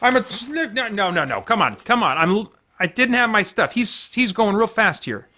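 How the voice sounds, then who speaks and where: A man's voice: high-pitched, very animated, normal speed, sharp tone. A man chatting casually as a livestream host, in a rambling, self-deprecating way.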